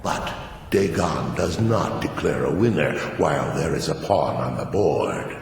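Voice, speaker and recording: An imperious demon god from a fantasy game. His voice is extremely deep and gravelly, and he hands down slow, menacing commands as if mortals were playthings. Every word booms through a vast echoing space. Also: expressive, full character voice. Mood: haughty, commanding. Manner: grand, slow, domineering pronouncements. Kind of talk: fantasy video game voice acting. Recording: cavernous reverb, faint background noise.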